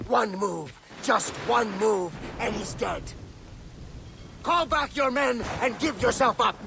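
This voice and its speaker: Gravelly, harsh, menacing soldier. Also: male voice, very high voice, takes it slowly, background noise, full character voice. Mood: threatening. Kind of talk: video game character acting.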